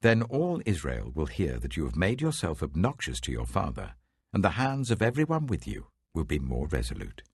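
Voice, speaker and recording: A man's voice: extremely low pitch, huge pitch swings, normal speed, vocal fry, a little mellow, slightly rough, no room sound, full character voice. A man voicing a ruthless royal strategist in a scripture audiobook, firm and calculating in his counsel. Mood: cold. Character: ruthless royal adviser, cold and decisive strategist.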